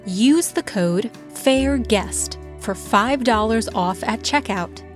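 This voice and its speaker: A woman's voice: a little high, animated, slow. A woman delivering a scripted promotional announcement as the announcer. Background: music.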